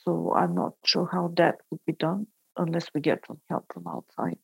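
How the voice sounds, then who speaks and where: A woman's voice: sits low, very animated, moderate pace, gravelly, darkish tone, breathy edge, no room sound, rough recording. Brief: woman teacher on a panel, thoughtful answers, close dry sound. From North Macedonia. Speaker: braille teacher.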